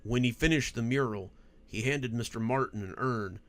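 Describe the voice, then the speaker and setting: A man's voice: medium pitch, normal speed, natural voice. A man voicing a suspicious, bitter male character in a horror story, insistent and foreboding. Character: suspicious, bitter man recalling an ominous gift.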